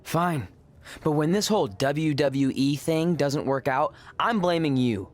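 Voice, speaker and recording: A man's voice: fairly high pitch, lively intonation, unhurried. A man playing a self-deprecating, sarcastic and awkward young aspiring wrestler in a video game cutscene. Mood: grudging. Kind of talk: scripted game cutscene acting.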